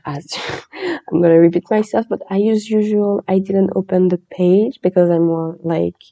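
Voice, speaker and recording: A woman hosting a podcast, chatting in a relaxed, meandering way and reading a legend aloud. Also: on the low side, lo-fi sound. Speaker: podcast host.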